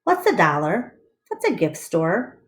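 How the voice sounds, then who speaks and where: A woman's voice: on the low side, huge pitch swings, unhurried. A woman voicing a bold, curious baby girl elephant in a storybook, full of eager questions. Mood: puzzled.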